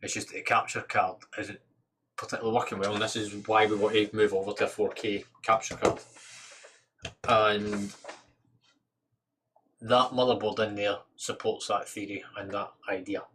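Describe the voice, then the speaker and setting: A man's voice: fairly low pitch, little pitch movement, normal speed, pauses often, fairly bright tone, slight background noise. A Scottish man hosting a casual livestream, chatting informally and thinking aloud as he works. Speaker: livestream host. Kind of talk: casual livestream chat while working on PC parts.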